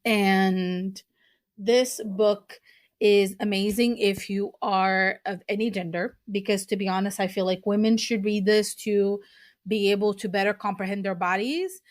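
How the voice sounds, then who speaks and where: Slow, earnest woman. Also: mid-range voice, flat delivery, no room sound, clean studio sound. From Portugal. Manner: slow, earnest, with fillers and restarts. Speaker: podcast host. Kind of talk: casual podcast talk recommending books.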